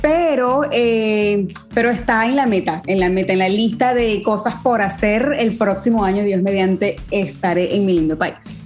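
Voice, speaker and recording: A woman in her forties from Ecuador, a podcast guest telling stories in a relaxed, chatty way. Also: mid-range voice, moderate pace, faint music behind. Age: 40s. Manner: warm storytelling with fillers. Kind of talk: casual chat.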